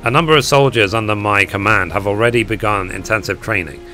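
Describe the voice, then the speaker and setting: A man with a hoarse voice reading the lines of a confident male military officer from a game. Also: lowish voice, a little fast, pushed and bright, soft background music. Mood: commanding.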